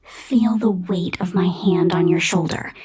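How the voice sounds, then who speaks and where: A woman playing a menacing, possessive robot boss in an ASMR roleplay, commanding and pompous in a deliberate corporate manner. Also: fairly low pitch, fairly even intonation, darkish tone, slightly rough.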